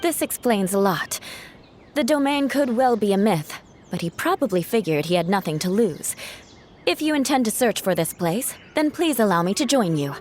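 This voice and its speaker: A woman's voice: medium pitch, lively intonation, normal speed, darkish tone, a little hiss or hum, lightly acted. An actress playing a proud, composed young woman knight with a dry, haughty manner, in a game. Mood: resolute. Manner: poised, dryly haughty. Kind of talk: game voice acting.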